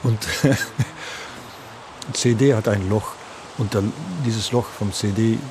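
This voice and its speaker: Guy, lowish, telling stuff haltingly, noisy ambience behind him. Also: steady tone. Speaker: interviewed experimental musician. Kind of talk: halting anecdotal interview talk. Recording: clearly noisy ambient background.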